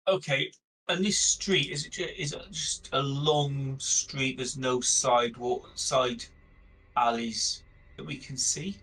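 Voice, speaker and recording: Gravelly, husky man at a gaming table. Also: mid-range voice, steady tone, takes it slowly, a bit bright, rough recording. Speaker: tabletop game player. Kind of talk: casual in-game talk.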